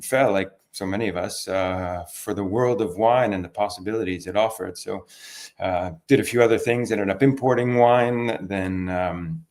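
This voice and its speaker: A man's voice: fairly low pitch, moderate pace, darkish tone. A man with a Canadian accent, an interview guest telling stories conversationally in an affable way. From Toronto, Canada. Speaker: interview guest, wine expert. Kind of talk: relaxed conversational storytelling.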